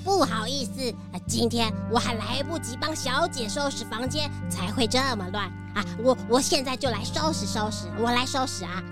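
A woman's voice: on the high side, moderate pace, few pauses, close to an everyday voice. A woman voicing a nervous, eager-to-please young maid who talks jittery and apologetic, full of anxious fillers. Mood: flustered. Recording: light music bed.

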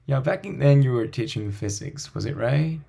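A man from Taiwan who teaches language, hosting a casual conversation and speaking haltingly, often restarting and repeating words. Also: mid-range voice, lively intonation, creaky, warm tone, breathy edge.

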